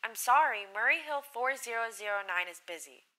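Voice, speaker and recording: A woman's voice: a little high, lively intonation, brisk, close to an everyday voice. A woman playing a detached, formulaic but polite telephone switchboard operator in a radio drama. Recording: very noisy, distant-sounding audio with clicks.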